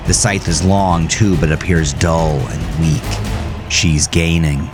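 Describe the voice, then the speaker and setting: Low-voiced man narrating horror over music. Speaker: author narrating his own horror story. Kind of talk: dramatic scripted horror narration. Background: music under the voice.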